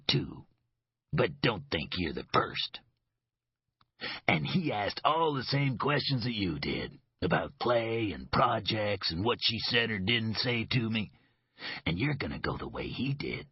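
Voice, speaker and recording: A belligerent middle-aged thug, voiced by a male narrator, snarling and cursing in a husky, raised voice that swoops high and hard. He mocks and threatens. The audio is dull and dry. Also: no echo, heavily acted. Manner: snarling, cursing, mocking laughs.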